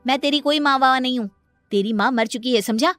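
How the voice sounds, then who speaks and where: A woman voicing a cruel, selfish stepmother in a cartoon moral story, sharp, scornful and bossy. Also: high-pitched, brisk, soft background music, pitched above the performer's own voice. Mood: angry, defiant. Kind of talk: animated moral story dialogue.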